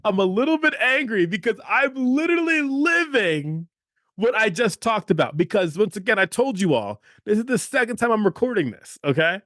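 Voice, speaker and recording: A man's voice: sits high, big pitch swings, moderate pace, fairly bright tone, no echo. A male podcast host delivers a motivational monologue in a slightly raised voice. He talks emphatically, repeats phrases for weight and keeps checking in with "okay?". The recording is dry and close.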